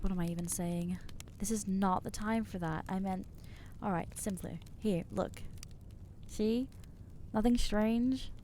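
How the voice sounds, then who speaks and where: A woman voicing an anxious, guilt-ridden young female vampire in an ASMR roleplay, speaking haltingly and stammering as she reproaches herself. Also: medium pitch, warm tone, slight background noise, lightly acted.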